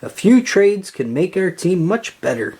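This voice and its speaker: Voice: mid-range voice, very animated, unhurried, warm tone, husky, pitched above the performer's own voice, exaggerated voice. A narrator voicing an earnest, anxious boy who manages a baseball team in a children's storybook.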